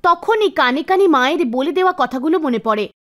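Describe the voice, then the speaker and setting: A woman narrating an animated folk tale in a scripted storytelling style. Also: high voice, fairly quick. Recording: music under the voice. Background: background music.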